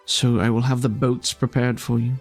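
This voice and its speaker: Man playing a gravelly, cool casino boss woman. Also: mid-range voice, level tone, moderate pace, soft background music, lightly acted.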